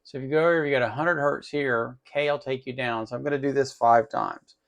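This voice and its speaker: A man with a medium-pitched voice and a pronounced gravelly creak walks through a hands-on demonstration. He speaks informally, thinking aloud step by step like a tutorial host at the workbench. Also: fairly quick. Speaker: host of an electronics tutorial channel.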